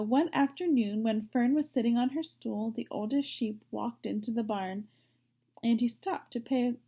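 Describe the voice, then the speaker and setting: A woman reading a children's story aloud, pausing for simple explanatory asides. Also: a little high, warm tone. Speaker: story reader.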